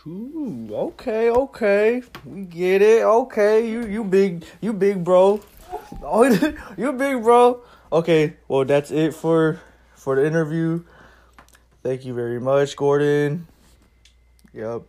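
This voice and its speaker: A man's voice: high voice, expressive, slow, darkish tone. A young man hosting a casual amateur podcast and interviewing a guest. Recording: faint ambient background noise.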